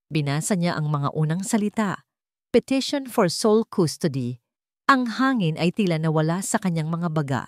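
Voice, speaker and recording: A woman's voice: deep voice, darkish tone, dry recording, clean studio sound. A woman with a Filipino accent narrating a dramatic scripted love story.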